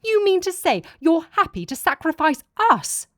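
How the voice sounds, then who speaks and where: An alarmed young heroine asks sharp, incredulous questions, as a woman reads her lines. Her pitch leaps and swings widely. The recording is dry and close. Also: wildly swooping pitch, very slow, no echo, exaggerated voice. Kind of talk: audiobook character reading.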